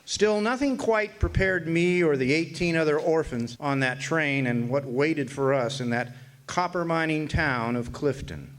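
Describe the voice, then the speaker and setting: A man narrating a radio play as a grown former orphan looking back on his boyhood, in measured storytelling. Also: on the high side, natural voice. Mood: reflective.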